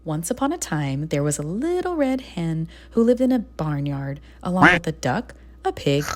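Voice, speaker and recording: A woman reading a children's storybook aloud with expressive, deliberate storytelling. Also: deep voice. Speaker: storybook narrator. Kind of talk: scripted children's read-aloud narration.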